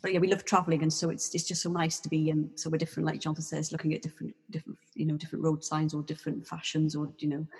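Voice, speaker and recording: A woman guest chatting casually, rambling with many restarts and fillers, her voice often trailing off softly. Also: sits low, completely flat delivery, racing, few pauses, in a large hall. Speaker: guest, textile artist. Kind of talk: casual chat.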